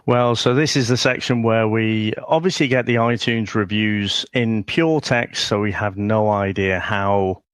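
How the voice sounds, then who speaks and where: A man's voice: lowish voice, fairly even intonation, unhurried, hardly any pauses, clean tone, no room sound, studio quality. Rambling male podcast host. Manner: rambling, filler-heavy. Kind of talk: casual podcast chat.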